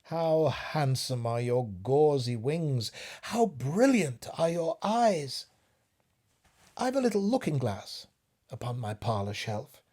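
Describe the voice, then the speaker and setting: A man voicing a cunning male spider from a storybook poem, coaxing and flattering with oily politeness. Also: mid-range voice, takes it slowly, pitched above the performer's own voice.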